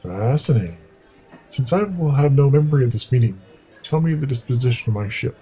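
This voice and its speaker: Guy acting senior vulcan captain, formal, mellow voice but swoopy pitch, sci-fi audio drama. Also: lively intonation, brisk, slightly rough, faint music behind, poor audio. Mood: curious. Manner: formal, stilted. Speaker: voice actor.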